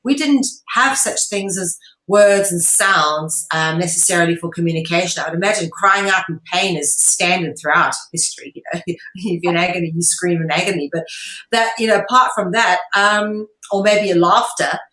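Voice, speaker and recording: A woman's voice: fairly low pitch, normal speed, pushed and bright. A woman being interviewed, explaining her ideas at length in an earnest, emphatic, lecturing way. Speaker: interview guest, online show presenter. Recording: echoey room.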